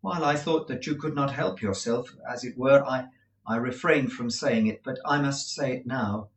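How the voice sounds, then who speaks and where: A man's voice: medium pitch, moderate pace, recorded from a distance, lightly acted. A man reading aloud as an earnest young man who pleads in a trembling, devoted way. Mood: pleading. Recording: roomy echo.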